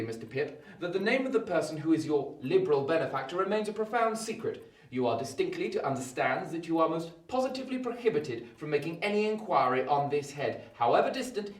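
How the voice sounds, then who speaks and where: An actor playing a brisk, imperious Victorian lawyer with formal, emphatic phrasing in a theatrical radio play. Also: male voice, on the high side, big pitch swings, recorded from a distance. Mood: stern, official. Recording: roomy echo, off the mic.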